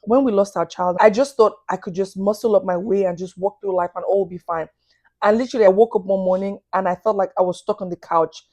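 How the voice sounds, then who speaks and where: A woman in her thirties with a Nigerian accent shares her story as a podcast guest. She speaks openly and with animation, in a warm mid-range voice. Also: mid-range voice, fast talker. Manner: candid, animated, laughs often. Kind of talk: casual testimony.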